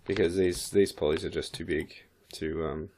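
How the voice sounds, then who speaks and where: A man with a croaky voice, casually thinking aloud as he walks through a 3D modeling software tutorial. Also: deep voice, steady tone, moderate pace, slight background noise. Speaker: 3D modeling instructor. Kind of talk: screen-recorded software tutorial.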